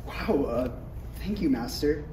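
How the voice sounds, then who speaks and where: A man's voice: mid-range voice, lively intonation, slow pace, mellow. A man playing an awkward, eager young Jedi apprentice in a parody table read, speaking casually with stammers. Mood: awkward, flustered. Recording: echoey room from far off, faint noise.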